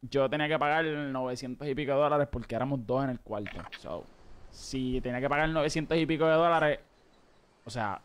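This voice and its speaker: A young man, hoarse from shouting, chatting casually with his live-stream viewers and greeting them by name. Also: mid-range voice, fairly quick, a little hiss or hum. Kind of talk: casual live-stream chat while gaming. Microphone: studio mic on arm, headphones.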